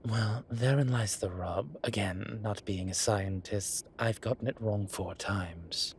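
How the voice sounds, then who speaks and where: A man's voice: fairly low pitch, a little slow, slight background noise. A man playing a vain, suave incubus demon who poses as a posh professor in an audio roleplay, with a wry, self-satisfied drawl. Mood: exasperated, dry. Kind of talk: scripted audio roleplay acting. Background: ambient sound effects.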